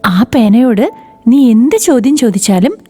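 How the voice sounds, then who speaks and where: Woman playing magical mermaid, kind, reassuring, mellow timbre, children's animated story, light music behind. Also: fairly high pitch, unhurried. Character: kind magical mermaid who consoles and helps.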